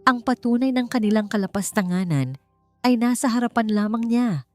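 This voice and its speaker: A woman with a Filipino accent narrating a scripted dramatic story in a solemn, emphatic, deliberate way. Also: a little high, lively intonation, no echo. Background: background music.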